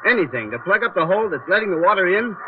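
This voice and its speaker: An actor in a 1940s radio drama playing a polite, curious visitor to a tunnel. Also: male voice, high-pitched, big pitch swings, very fast, over music. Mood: agreeable. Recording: muffled 1940s radio with faint noise.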